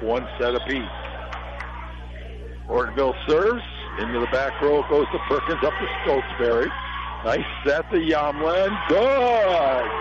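A middle-aged American man with a hoarse, failing voice gives folksy live play-by-play commentary on a local volleyball game in short phrases. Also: mid-range voice. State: hoarse, losing his voice from allergies. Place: high school gym. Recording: dull lo-fi radio broadcast sound.

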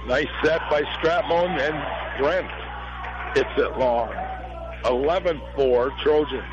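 Middle-aged American man, hoarse allergy-worn voice, higher pitch, folksy local sports play-by-play, muffled lo-fi radio sound. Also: slow pace, over music. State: hoarse, losing his voice from allergies. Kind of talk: live local volleyball radio commentary. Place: high school gym. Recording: dull lo-fi radio broadcast sound.

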